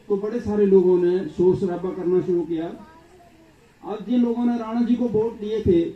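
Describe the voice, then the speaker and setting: A man delivering a political speech at a public gathering, building his points through repeated lists. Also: sits high. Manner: rhetorical, repetitive lists. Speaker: political speaker. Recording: echoey, distant, dull sound.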